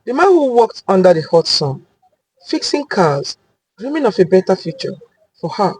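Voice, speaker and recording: A woman tells a dramatic scripted tale with deliberate phrasing. Her pitch is mid-range and moves a great deal, and the recording is dry and close, with hardly any room sound. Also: sing-song, a little mellow, slightly rough, no echo, low-quality recording. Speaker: storyteller. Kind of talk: dramatic scripted story narration.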